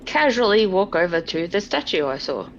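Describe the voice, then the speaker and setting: A woman's voice: lowish voice, sing-song, normal speed, slight background noise. A woman chatting casually as a player in a tabletop game. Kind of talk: casual chat. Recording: dull, lo-fi mic.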